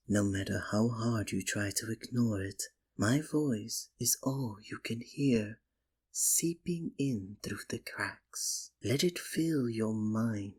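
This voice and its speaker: A man playing a sinister, possessive magician in an ASMR roleplay, speaking softly and coaxingly with theatrical, condescending endearments. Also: on the low side, heavily acted. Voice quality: soft, breathy. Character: sinister, possessive male stage magician, soothing hypnotist. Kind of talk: ASMR roleplay, scripted dramatic monologue.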